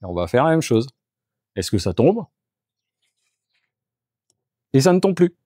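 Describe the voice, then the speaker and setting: A man at a middle pitch walks room to room through a house, calmly explaining each test as he carries it out. The sound is dry and close, with hardly any reverb. His delivery is casual and explanatory. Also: measured pace. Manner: step-by-step, explanatory, talks while working. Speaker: geobiologist doing an energy clearing. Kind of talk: on-the-spot demonstration with explanation. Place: indoors in a house, moving between rooms.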